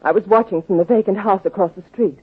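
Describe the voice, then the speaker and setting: A woman's voice: mid-range voice, fast talker, slightly rough, close to an everyday voice. An actress playing a cool, self-assured woman in trouble in an old-time radio drama, with a knowing manner. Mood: cool confidence. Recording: tinny old radio recording.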